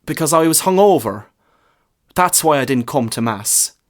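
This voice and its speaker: A man's voice: a little high, lively intonation, moderate pace, pitched above the performer's own voice, close to an everyday voice. A man voicing a nervous, guilty young male parishioner in a story, stammering, earnest and panicky. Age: young adult. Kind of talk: short story character reading.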